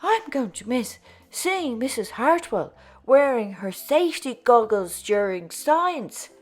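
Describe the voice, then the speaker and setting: A woman acts out an eager young schoolchild from a picture book. The voice jumps up high and swings widely, exclaiming with emphatic energy over soft music. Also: sing-song, takes it slowly, full character voice. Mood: wistful.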